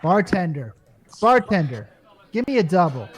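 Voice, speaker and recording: A man plays a worn-out, wisecracking working stiff in a radio comedy. He talks in a high-pitched voice, full of slang and exasperated sarcasm. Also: very animated, moderate pace, husky, faint music behind, full character voice. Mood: weary, irritated. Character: weary, wisecracking working-class male repairman.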